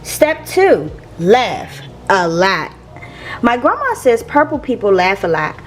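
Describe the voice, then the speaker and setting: A woman reading a picture book aloud to young listeners in a playful, expressive teacher's manner. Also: mid-range voice, takes it slowly, soft background music. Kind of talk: expressive children's read-aloud.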